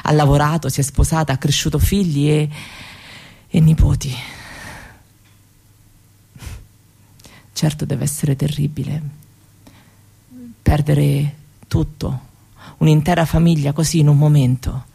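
An actress voices a sorrowful granddaughter in a theatrical monologue. Her voice is kept low, and she places each phrase gravely and carefully, with a gentle plea in it. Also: flat delivery, normal speed, long pauses, heavily acted. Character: grieving granddaughter telling of her traumatised Roma grandmother.

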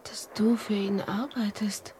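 An actress playing a broke, heartbroken young woman in a German radio drama, husky and breathy, plaintive and fragile. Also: medium pitch, steady tone, measured pace, mellow, a little hiss or hum, natural voice. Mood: subdued, breathy.

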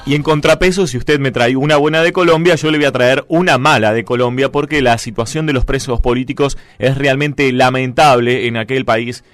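A man hosting a radio news talk show, measured and announcer-like with dry teasing. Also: medium pitch, fairly even intonation, fairly bright tone. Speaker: radio host. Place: radio studio.

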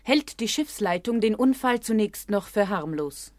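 A woman acting a probing, sceptical voice of inquiry in a radio documentary drama. She is mid-pitched and recorded very dry, with pointed, formal phrasing. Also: lively intonation, a little slow, a little mellow, dry recording, close to an everyday voice. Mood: critical.

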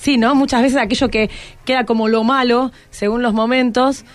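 A woman's voice: a little high, steady tone, normal speed, soft background music. A woman with an Argentine accent, interviewing casually as a radio host in an encouraging, inquisitive way. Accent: Argentine, Rioplatense.

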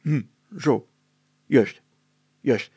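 A man voicing a short, fat, pompous village mayor, gruff, stammering and suspicious, congested with hay fever, for a storybook. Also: medium pitch, drawn-out words, mellow, dry recording, exaggerated voice. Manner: gruff, stammering, self-important.

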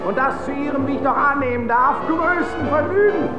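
A showy, very high-voiced master of ceremonies in a radio play. He is gleeful and pushy, coaxing the crowd with theatrical flourish. The sound is echoey, with loud music playing behind him. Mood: boastful, coaxing. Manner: theatrical, crowd-working, drawn-out exclamations, coaxing questions. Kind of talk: radio drama acting as show announcer.

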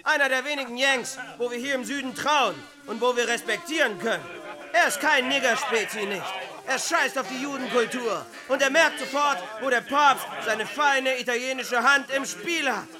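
High-pitched fiery Southern rabble-rouser. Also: male voice, normal speed, over music. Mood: rousing, hateful. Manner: declamatory, rabble-rousing. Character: fiery bigoted Southern barber addressing a town rally. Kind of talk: bombastic rally speech in radio drama.

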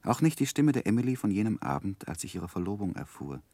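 An actor playing a grown man recalling his life, narrating a 1960s German radio drama in a measured, reflective, wistful way. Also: lowish voice, very animated, mellow, no echo. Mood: wistful, grave.

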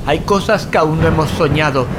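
An actor playing a grim, awed survivor at sea in a horror audio drama, speaking with dramatic, ominous deliberation. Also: male voice, a little high, normal speed, noisy background, natural voice. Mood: grim resolve. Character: grim, awed survivor steering a yacht from monsters. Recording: loud music over the voice.

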